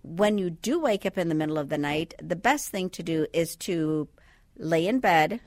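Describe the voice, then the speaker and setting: A woman physician from the Bahamas speaks in a fairly low voice, calm and patient as she walks listeners through her advice. The studio sound is dry and close. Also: normal speed, no echo. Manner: calm, patient, explains step by step. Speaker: sleep physician guest. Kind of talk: explanatory radio interview. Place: radio studio. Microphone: studio microphone.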